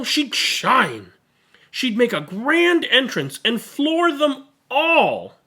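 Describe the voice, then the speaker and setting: A host reading a picture book aloud with animated narration and chatting casually about it. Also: medium pitch.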